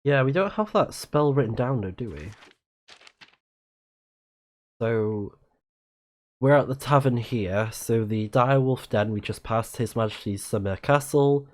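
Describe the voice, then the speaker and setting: A man doing casual game commentary, musing to himself and reading game text aloud. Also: medium pitch. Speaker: let's play streamer.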